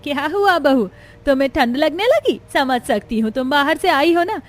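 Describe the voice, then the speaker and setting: Woman playing a kindly high-voiced cartoon mother-in-law. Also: lively intonation, moderate pace. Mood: concerned. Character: kindly middle-aged mother-in-law, welcoming and attentive. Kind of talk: Hindi cartoon story voicing.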